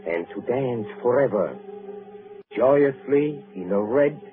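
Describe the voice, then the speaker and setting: An actor playing a proud, sinister old European count in old-time radio drama, speaking with deliberate, courtly menace. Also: male voice, mid-range voice, lively intonation. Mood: ominous, proud. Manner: deliberate, ominous storytelling. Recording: muffled vintage radio recording with music.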